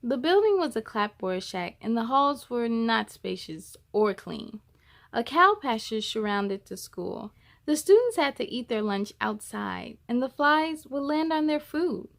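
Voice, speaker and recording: A woman reading a children's picture book aloud in an expressive, measured storytelling style. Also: on the high side, creaky.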